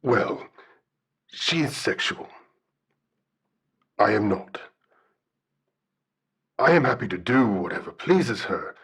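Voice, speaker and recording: An actor playing a solemn, shy, bear-like guardian spirit in an audio drama, speaking formally and hesitantly. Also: male voice, fairly low pitch, vocal fry, fairly bright tone, husky, exaggerated voice. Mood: hesitant, awkward. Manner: formal, hesitant, articulate speech. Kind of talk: audio drama radio call-in acting.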